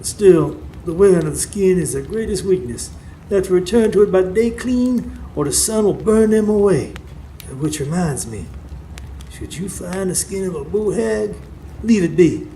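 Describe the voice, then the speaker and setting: A man's voice: high voice, lively intonation, normal speed, mellow, rough recording. A man playing a wise, stern old folk healer in a live radio drama, terse and commanding as he instructs. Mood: grave, instructive. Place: stage before a live studio audience. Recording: live stage recording with background noise.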